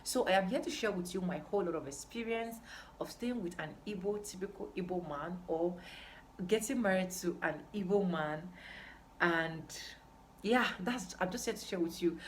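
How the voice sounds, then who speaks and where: A young woman with a Nigerian accent talks casually to her viewers in a fairly low voice. She is emphatic, often repeating a phrase to stress it. There is faint noise behind her. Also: normal speed. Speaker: vlogger. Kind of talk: casual chat to camera.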